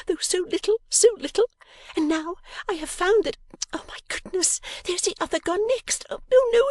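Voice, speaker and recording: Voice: very high-pitched, no room sound, voice pushed up. A reader voicing a silly, tearful young lady who gushes breathlessly while sobbing.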